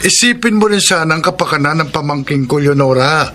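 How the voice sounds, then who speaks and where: Stern responsible filipino uncle in a radio drama, punchy highish voice, earnest lecturing, faint noise. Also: male voice, expressive, a little fast, bright, forceful tone. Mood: scolding, insistent. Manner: admonishing, earnest. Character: stern, responsible older brother and caring uncle.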